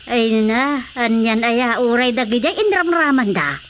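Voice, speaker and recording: Chiding elderly village wife, highish voice. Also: female voice, a little slow. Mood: grumbling. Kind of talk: Filipino radio drama comedy acting. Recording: steady faint background noise.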